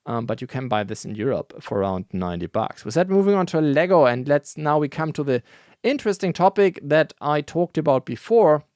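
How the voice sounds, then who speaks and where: A man whose first language is not English, hosting a casual news roundup in a relaxed, rambling way, with many fillers and self-corrections. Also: fairly high pitch, brisk, no echo, clean studio sound. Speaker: host of a brick building news show.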